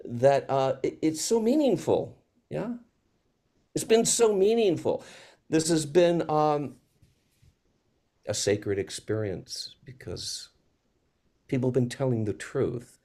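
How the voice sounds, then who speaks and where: A man in a reflective panel conversation, speaking as a theater maker in a halting, searching, earnest way with pauses. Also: on the high side, dark tone.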